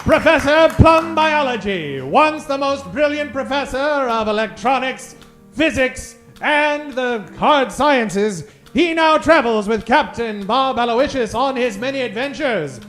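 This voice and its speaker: A man announces in a very high-pitched, declamatory voice, like the host of an old-time radio serial. His delivery is grandiose and mock-dramatic, every phrase staged as a theatrical introduction. Music plays behind him. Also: animated, measured pace, a bit bright, some chatter behind.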